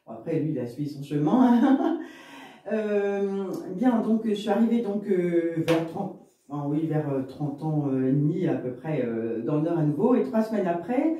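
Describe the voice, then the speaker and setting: A woman's voice: fairly low pitch, sing-song, normal speed, warm tone, very echoey, far from the mic. A woman in her fifties from Lille, telling her career story in a rambling, chatty way as an interview guest. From Lille, northern France. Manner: chatty and rambling, many fillers and asides. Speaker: guest, business owner in real estate.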